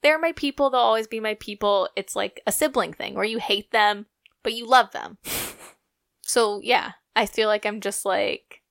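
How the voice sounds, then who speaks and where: Bright, chatty young woman co-host. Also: medium pitch, moderate pace, gravelly, no room sound, clean studio sound. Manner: gushing, laughs often, chatty. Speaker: podcast co-host. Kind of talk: casual chat.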